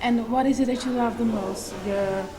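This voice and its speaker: A woman interviewing a guest, asking short questions and giving quick replies of agreement. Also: on the high side, fairly even intonation, a crowd in the background. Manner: brief prompts and quick back-channel replies.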